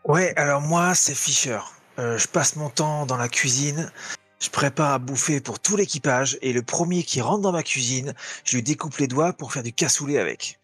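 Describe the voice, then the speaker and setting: A man introducing his cook character in a gruff, joking tone. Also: mid-range voice, normal speed, fairly bright tone, no echo, faint music behind. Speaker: player.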